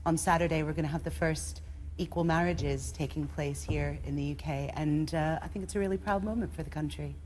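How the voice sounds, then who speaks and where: Low-voiced woman panelist, positive and articulate. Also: fairly even intonation, fairly quick, darkish tone, slight background noise. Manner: upbeat, affirming and articulate. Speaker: debate panelist. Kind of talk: studio debate answer.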